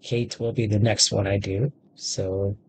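A man in his thirties talks through a game in a casual, halting way. His voice is creaky and slow, as if thinking aloud while he types. There is faint noise and game ambience behind him. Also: lowish voice, level tone, a little mellow, low-quality recording. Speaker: gaming video host. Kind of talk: casual let's-play commentary. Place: indoors at a computer.

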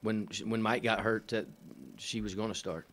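Middle-aged male coach at a press table mic, low-pitched, halting and matter-of-fact with dry asides. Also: steady tone, fairly bright tone, slight background noise. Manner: halting, many fillers and restarts, dry matter-of-fact humor. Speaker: head coach. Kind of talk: post-game press conference answers. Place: press room in front of a sponsor backdrop. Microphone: table microphone.

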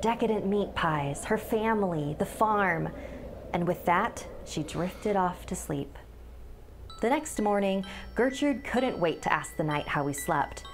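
A woman's voice: mid-range voice, expressive, a little slow. A woman in her thirties giving a scripted, dramatic narration as a scary-story teller. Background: background music.

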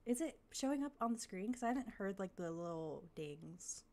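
A woman live-streaming a game, chatting casually with fillers and thanking followers, her voice slightly raspy as she comes down with a cold. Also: medium pitch, animated, normal speed, dark tone. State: coming down with a cold.

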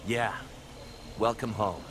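An actor playing a brash, cocky young assassin antihero in a video game, blunt and curt. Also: male voice, lowish voice, takes it slowly. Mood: solemn. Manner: blunt, curt, swearing swagger. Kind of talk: English video game cutscene acting. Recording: game audio with clear background noise.

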